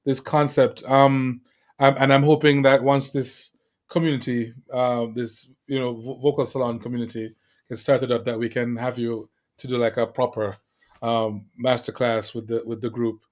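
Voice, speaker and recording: A man's voice: medium pitch, flat delivery, moderate pace, a little mellow. A man hosting an interview podcast, supportive, giving short affirmations and repeating words as he thinks. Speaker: podcast host. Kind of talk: casual interview talk.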